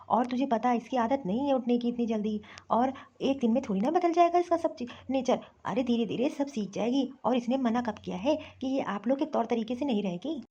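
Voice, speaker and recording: Protective young husband for family cartoon female actor; husky, earnest, placating, lo-fi sound. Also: a little high, mellow. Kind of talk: Hindi family-drama cartoon voice acting. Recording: dull, lo-fi recording.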